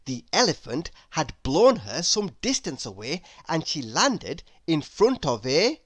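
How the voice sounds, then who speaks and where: A woman narrates a children's storybook with very animated, swooping intonation. Her voice sits a little low and she takes her time, in an expressive storytelling manner. The sound is close and dry. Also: unhurried, dry recording. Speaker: storybook reader. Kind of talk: scripted read-aloud narration of a children's story.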